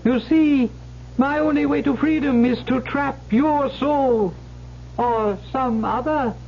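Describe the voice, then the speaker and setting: A bottle imp, bound servant of the devil, speaking in a very high, drawn-out voice. The voice drags each word slowly. It comes through a muffled old radio recording with faint hiss. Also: male voice, vocal fry, heavily acted. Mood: eerie servile. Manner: slow, high, unearthly servility. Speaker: actor in radio drama. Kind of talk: 1970s radio drama acting.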